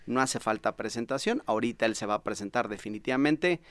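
A man with a Mexican accent hosts a conversational podcast interview. His voice is clean and resonant, at an ordinary male pitch. He is recorded close on a studio mic in a dry, padded room. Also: lively intonation, fast talker, no room sound. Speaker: podcast host. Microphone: studio microphone with headphones.

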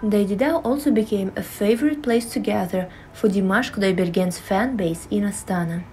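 A woman's voice: mid-range voice, normal speed, over music, low-quality recording. A woman reading scripted narration for a news feature video.

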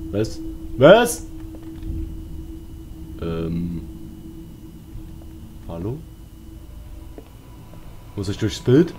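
A man's voice: low voice, big pitch swings, drawn-out words, pauses often, a little mellow, a little hiss or hum, rough recording. A man with a German accent giving casual, jumpy commentary on a horror game, often talking to himself and exclaiming. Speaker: let's player. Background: game music and sounds.

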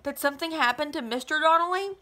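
A woman reader takes the part of a naive teenage boy in a storybook. His voice is high and bright. He sounds earnest and anxious, pressing his point. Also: fast. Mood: worried. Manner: anxious, insistent.

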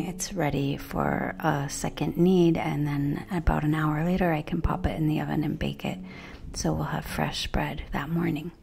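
A woman's voice: sits low, gravelly. A middle-aged woman chatting casually as a cooking vlogger, friendly and prone to wandering off topic, talking to camera outdoors by a brick wall. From Canada.

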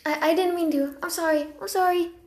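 A woman voicing an excitable young female cat kit in amateur animated character lines, eager and expressive. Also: extremely high pitch, a little fast, reverberant. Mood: apologetic, subdued.

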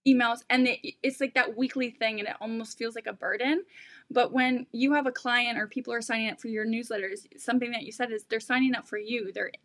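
A woman's voice: on the high side, fairly even intonation, a little fast, a bit bright, no echo, studio quality. A young woman from Canada hosting a video-call interview, talking in a hesitant, searching way. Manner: hesitant, lots of fillers and restarts. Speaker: host. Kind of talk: casual livestream interview. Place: room at home.

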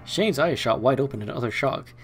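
A man's voice: mid-range voice, lively intonation, fairly quick, a little hiss or hum. A man hosting a let's play, reading game narration aloud and commenting casually.

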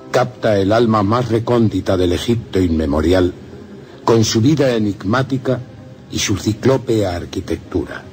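A man reads literary prose for radio in a lowish voice. His delivery is formal and solemn, and music plays clearly behind him. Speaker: radio reader. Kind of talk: scripted dramatic reading of literary essay. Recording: music under the voice.

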